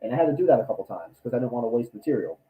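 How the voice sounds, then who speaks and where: A man with a raspy voice from allergies, chatting casually on a livestream about his builds, rambling with many ums and restarts. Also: mid-range voice, big pitch swings, very fast, mellow, poor audio. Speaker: cosplay maker and YouTuber.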